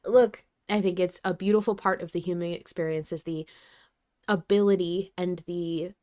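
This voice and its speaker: A woman's voice: fairly low pitch, steady tone, moderate pace, darkish tone. A woman co-hosting a podcast in casual chat, effusive and emphatic. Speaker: podcast co-host.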